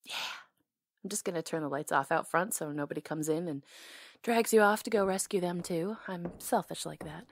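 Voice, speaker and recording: A woman's voice: lowish voice, moderate pace, no echo. A woman acting in an intimate ASMR roleplay as a hair stylist, talking casually in a caring, teasing way.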